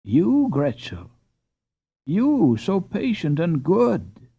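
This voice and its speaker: Gentle hushed mother figure, slow, read by a man, dull lo-fi sound. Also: fairly high pitch, slightly rough, no room sound, pitched above the performer's own voice, full character voice. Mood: soothing. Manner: calm, hushed. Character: hardworking poor mother, gentle and soothing.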